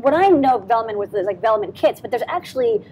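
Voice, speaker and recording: Quick, upbeat high-voiced woman host. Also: lively intonation, mellow, highs cut off, a little hiss or hum. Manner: upbeat, joking. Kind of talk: casual interview. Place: factory.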